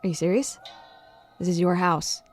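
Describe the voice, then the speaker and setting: An actress playing a blunt, suspicious sheriff in an audio drama, direct and questioning. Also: female voice, on the low side, steady tone, moderate pace, soft background music, natural voice. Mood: alarmed, demanding. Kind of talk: scripted audio drama acting.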